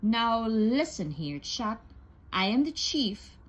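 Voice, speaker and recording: A woman's voice: fairly high pitch, very animated, slow, heavily acted. A woman voicing a proud, bullying male rooster who speaks fiercely and bossily in a read-aloud storybook. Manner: fierce, bossy.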